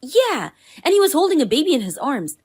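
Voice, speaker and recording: A woman's voice: sits high, big pitch swings, brisk, darkish tone. A woman playing a blunt, pushy female friend in a scripted story drama. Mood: insistent, puzzled. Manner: blunt, accusing. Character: Allison, pushy, indignant, spoiled but loyal woman friend.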